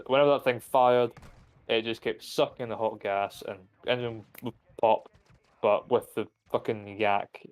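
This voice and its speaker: Rambling, profane young male streamer. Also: mid-range voice. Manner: rambling, profane, full of restarts. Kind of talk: casual gaming chat.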